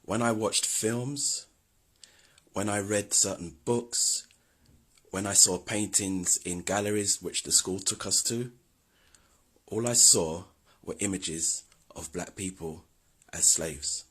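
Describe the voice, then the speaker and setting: Husky, very deep-voiced man. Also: takes it slowly, long pauses, a bit bright. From London, England. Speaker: video host. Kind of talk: scripted history commentary.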